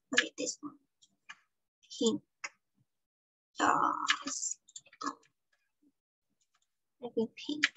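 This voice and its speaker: Woman game streamer, high voice, very slow drawl, chatty, faint clicks behind. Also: lo-fi sound, slight background noise. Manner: chatty, thinks aloud with many ums, soft and unhurried. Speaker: gaming channel host. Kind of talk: casual gameplay commentary.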